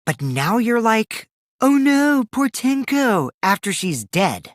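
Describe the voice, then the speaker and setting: A man's voice: sits high, very animated, takes it slowly, no echo, studio quality, full character voice. An actor playing a mischievous, taunting teenage boy in a video game, theatrical and teasing, with a youthful boyish voice that can turn from cutesy to cold. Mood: scornful. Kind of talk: animated game dialogue in a murder-mystery trial.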